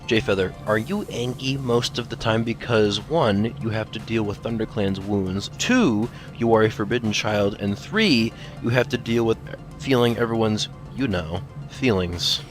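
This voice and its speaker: A man reading questions as co-host of a fan Q&A in a playful, teasing, animated manner. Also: fairly low pitch, moderate pace. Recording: faint music behind the voice.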